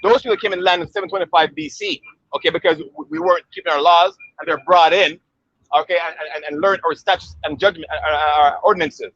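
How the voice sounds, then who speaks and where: A man's voice: on the high side, steady tone, fast talker, a bit bright, dry recording, lo-fi sound. A man preaching and debating with a passerby out on a city street. He talks insistently and keeps checking in with "okay" and "right?".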